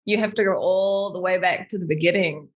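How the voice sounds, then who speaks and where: A woman in her thirties with a New Zealand accent, telling her story as a podcast guest in a lively, chatty way. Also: fairly low pitch, a little fast, highs cut off. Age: 30s. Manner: lively and chatty, many fillers like "like" and "um". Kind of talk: casual interview storytelling.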